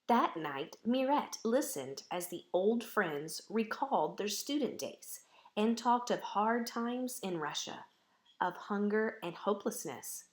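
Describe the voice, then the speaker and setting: A woman reading a children's picture book aloud with expressive, measured phrasing. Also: medium pitch. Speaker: storybook reader.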